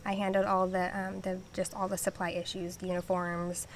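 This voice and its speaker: A woman's voice: on the low side, little pitch movement, normal speed, a little hiss or hum. A woman from Southern California, a podcast guest, answering in a hesitant way with many ums and easy laughs. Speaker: podcast guest, army veteran. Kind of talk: casual interview.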